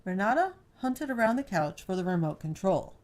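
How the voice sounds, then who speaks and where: A woman's voice: mid-range voice, very animated, moderate pace, darkish tone. A woman storyteller reading scripted fiction narration aloud.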